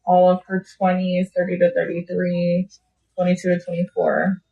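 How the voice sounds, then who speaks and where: Woman around thirty, lower voice, co-host, reads comments aloud, upbeat casual chat. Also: completely flat delivery, gravelly, recorded from a distance, phone-quality sound. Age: 30s. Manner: relays comments quickly, upbeat. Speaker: co-host reading viewer comments.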